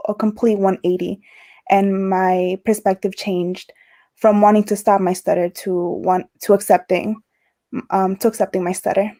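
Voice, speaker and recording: Young woman who stutters, soft mellow voice. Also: mid-range voice. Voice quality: stutters, with repetitions and broken-off words. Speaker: interview guest. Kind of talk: casual conversation over a livestream.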